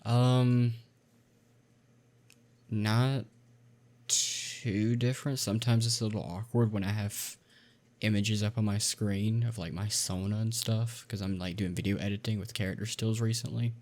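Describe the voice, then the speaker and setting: A man's voice: fairly low pitch, fairly even intonation, a little slow, darkish tone. A young man chatting on a podcast in an earnest, encouraging way, hesitating with ums as he talks. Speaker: YouTuber and podcast co-host. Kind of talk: casual chat.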